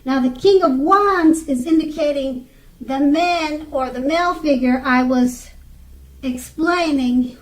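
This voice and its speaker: A woman giving an unscripted tarot card reading in a halting way, pausing and repeating her phrases. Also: high-pitched, low-quality recording. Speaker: tarot reader.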